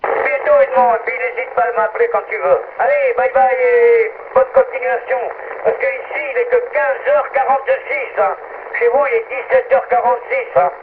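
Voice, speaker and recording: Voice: high voice, wildly swooping pitch, normal speed. An amateur radio operator chatting casually over a two-way radio contact. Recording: tinny, noisy two-way radio transmission.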